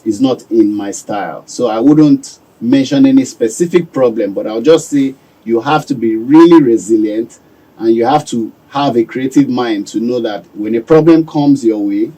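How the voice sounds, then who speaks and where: Calm Nigerian man, husky and mellow. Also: mid-range voice, recorded from a distance, low-quality recording. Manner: calm, measured, reflective. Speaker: business owner guest. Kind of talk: radio interview answers. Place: radio studio.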